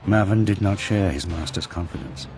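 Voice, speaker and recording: A man's voice: low voice, normal speed, natural voice. A man narrating an audio drama in a dramatic, scripted storytelling style. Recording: clear background noise and ambience.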